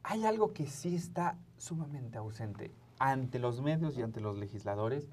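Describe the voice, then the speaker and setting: A man's voice: medium pitch, expressive, measured pace, darkish tone, recorded from a distance. A man with a Mexican accent hosting a casual panel chat in a studio, speaking in a colloquial, jokey way. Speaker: program host.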